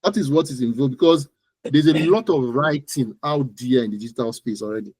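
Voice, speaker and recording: A man's voice: medium pitch, brisk, darkish tone, husky, no room sound. Nigerian man giving instructive advice. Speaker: interview guest, digital marketing expert. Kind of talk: conversational explanatory interview answers.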